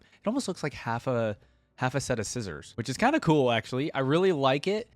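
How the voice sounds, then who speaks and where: A man's voice: medium pitch, no room sound. A man giving casual reaction commentary as host, talking in an enthusiastic, informal, slangy way.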